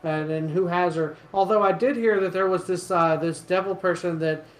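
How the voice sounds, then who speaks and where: A rambling, gossipy townsman from a fantasy tabletop game, played by a man, in a fairly high male voice. He hesitates, circles back and contradicts himself, with roomy echo around him. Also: fairly even intonation, moderate pace, close to an everyday voice. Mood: rambling, unsure.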